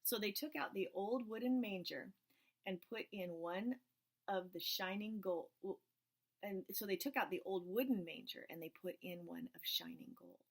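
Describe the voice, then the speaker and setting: A woman reading a picture book aloud, gently pointing out the pictures as she goes. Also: mid-range voice, normal speed. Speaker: storyteller.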